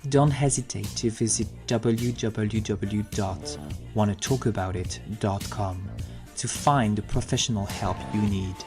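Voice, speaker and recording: A man reading a podcast's scripted closing message in a calm, measured way. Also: on the low side. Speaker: podcast host. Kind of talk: scripted outro. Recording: voice over clear guitar music.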